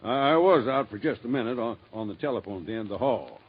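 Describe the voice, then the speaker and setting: A husky, stammering street Santa. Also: male voice, mid-range voice, expressive, quick pace. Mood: flustered. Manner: stammering, flustered. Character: nervous older man, street-corner Santa. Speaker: charity Santa Claus. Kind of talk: 1940s radio acting. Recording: muffled old radio recording.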